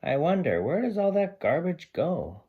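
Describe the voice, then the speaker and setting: A man voicing a friendly, curious dog who narrates a children's storybook in an animated, eager way. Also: on the high side, normal speed, slightly rough, highs cut off, voice pushed up. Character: friendly dog narrator helping his family recycle.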